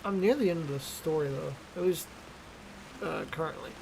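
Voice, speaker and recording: A man's voice: a little high, moderate pace, creaky, a little mellow, slight background noise, low-quality recording. A man giving casual, slangy gameplay commentary in an offhand way. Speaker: streamer reacting to a game.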